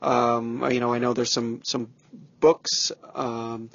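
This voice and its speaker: A man leaving a casual, rambling audio message for a show in a halting manner. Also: mid-range voice, little pitch movement, gravelly, a bit bright. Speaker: listener sending an audio message. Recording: dull lo-fi home recording.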